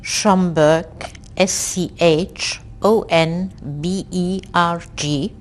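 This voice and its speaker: A woman in her sixties from Belgium speaks in an unhurried, low-set voice. She tells her family's story in an oral history interview. She pauses and restarts often, reflective. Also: steady tone. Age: 60s. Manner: slow, reflective storytelling with frequent hesitations. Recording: archival 1990s interview tape.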